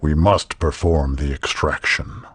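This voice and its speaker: A man playing a solemn, formal, knowing otherworldly guide in a video game, with a husky, measured, grave delivery. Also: very deep voice, steady tone, dry recording, exaggerated voice.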